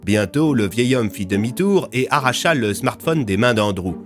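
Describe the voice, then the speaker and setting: A man's voice: mid-range voice, lively intonation, normal speed, faint music behind. A man narrating a scripted audio fiction as its storyteller.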